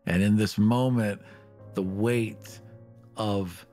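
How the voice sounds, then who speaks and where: A man's voice: fairly low pitch, steady tone, slow, warm tone, soft background music. A man improvising narration of his character's actions and feelings as a player in a tabletop game.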